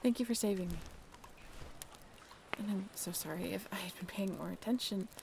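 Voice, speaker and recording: An actress plays a young half-mermaid woman in an intimate audio roleplay. Her voice sits at a middle pitch with plenty of creak, and she talks casually and haltingly, wry and a little bashful. Faint watery ambience runs behind her. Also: background noise, close to an everyday voice. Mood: tender, grateful. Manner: casual, halting, softly self-deprecating. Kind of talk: intimate audio roleplay, one-sided conversation.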